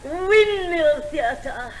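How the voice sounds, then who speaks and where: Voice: high voice, lively intonation, drawn-out words, heavily acted. A performer playing a genteel, innocent older lady with a wistful manner in a 1950s stage comedy sketch. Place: large theatre stage. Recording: noisy 1950s theatre recording.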